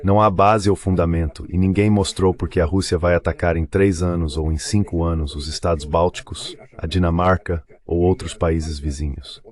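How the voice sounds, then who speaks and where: Deep male voice, Brazilian Portuguese accent, formal, measured, articulate, translated voice-over commentary. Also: steady tone, a little mellow. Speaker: dubbed translation voice of an interview guest.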